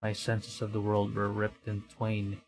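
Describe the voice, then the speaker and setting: A man's voice: on the low side, monotone, measured pace. A man from the Philippines streaming a game, reacting wryly with stammers and reading the text aloud. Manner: hesitant, stammering, wry reactions. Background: game music.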